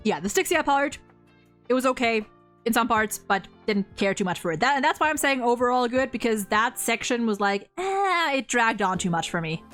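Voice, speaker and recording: A young woman co-hosting a casual discussion, talking in an animated, opinionated way with frequent swearing. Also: fairly high pitch, normal speed, soft background music. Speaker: video host reviewing a game.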